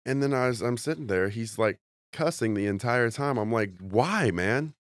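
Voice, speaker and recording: A man's voice: mid-range voice, lively intonation, moderate pace, no echo, studio quality. A congested man, a comedian guest, rambling through jokey stories in a casual podcast chat.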